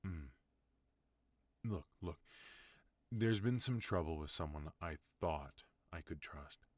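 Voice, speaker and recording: Casting: a man as a stern but tender mafia boss husband, very deep voice, dry close mic. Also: lively intonation, slow pace, no echo, exaggerated voice. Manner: low, firm, soft-spoken pleading. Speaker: voice actor. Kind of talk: ASMR roleplay.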